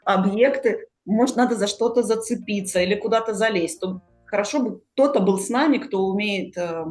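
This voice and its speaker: A woman hosts a podcast in a conversational style. Her voice sits at an ordinary middle pitch, with soft music playing faintly behind it. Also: fairly quick. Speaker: podcast host.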